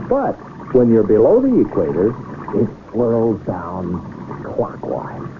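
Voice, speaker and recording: Courtly, animated rich gentleman, tinny radio. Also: on the low side, vocal fry, exaggerated voice. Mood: explaining, smug. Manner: formal, florid, deliberate speech. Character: lonely rich gentleman, shrewd, courtly and happily in love. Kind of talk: 1970s radio drama acting. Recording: tinny, noisy old radio broadcast.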